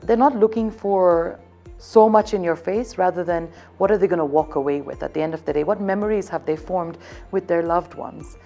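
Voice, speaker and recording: Woman with a mellow, lowish voice. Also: moderate pace, faint music behind. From Zambia. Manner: upbeat, selling, keeps circling back to one theme. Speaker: hotel events representative. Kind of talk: promotional interview answers.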